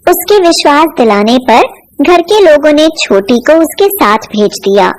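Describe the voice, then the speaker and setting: A woman's voice: high-pitched, lively intonation, a little slow, poor audio. A woman narrating a scripted cartoon folk tale as a storyteller.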